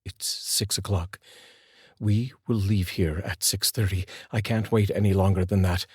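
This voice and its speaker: A male storyteller voicing a stern, steady husband who speaks firmly and plainly. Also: deep voice, measured pace, husky, dry recording, clean studio sound. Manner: firm and plain-spoken.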